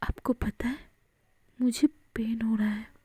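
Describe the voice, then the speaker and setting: Brief: gentle comforting young woman, done by a female storyteller, hushed and raised in pitch. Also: fairly even intonation, takes it slowly, husky, no echo, heavily acted. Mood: soothing. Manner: soft, coaxing, pleading. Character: tender young woman soothing her crying partner.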